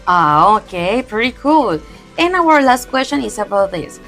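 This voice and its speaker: A woman's voice: mid-range voice, big pitch swings, a little slow, fairly bright tone, faint music behind. A woman, interviewing a teacher with an upbeat manner. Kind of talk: interview in English and German.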